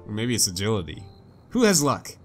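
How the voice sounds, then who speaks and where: A man's voice: fairly low pitch, wildly swooping pitch, a little fast. A man giving casual, playful, slangy commentary as he plays a video game. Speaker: let's player. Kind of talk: casual game commentary, reading dialogue aloud. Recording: game music behind. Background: game music.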